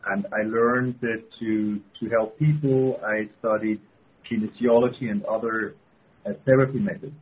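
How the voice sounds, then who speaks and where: A man with a Swiss accent, a guest on a call-in show, talking haltingly and often restarting his phrases. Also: fairly low pitch, fairly even intonation, slightly rough. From Switzerland. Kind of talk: casual interview. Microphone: telephone. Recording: phone-line call-in audio.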